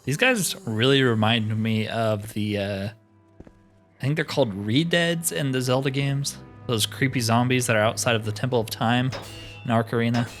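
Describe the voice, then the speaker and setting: Man, mid-pitched voice, casual let's play commentary, thinking aloud, mildly slangy, music in the background. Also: a bit bright. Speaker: let's player narrating a roleplay playthrough.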